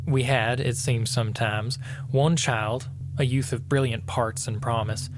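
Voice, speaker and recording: An actor playing a tormented husband confessing to murder, reading a gothic tale in a somber, formal, literary manner. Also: male voice, medium pitch, fairly even intonation, unhurried, noisy background, close to an everyday voice. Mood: somber, brooding. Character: tormented, guilt-ridden husband confessing to murdering his wife. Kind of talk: dramatic first-person reading of a gothic tale.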